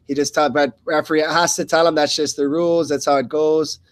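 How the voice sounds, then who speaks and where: A man with a notably high voice calls a fight live as a radio play-by-play commentator. He speaks in short, halting bursts, often repeating a phrase as he follows the action. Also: level tone.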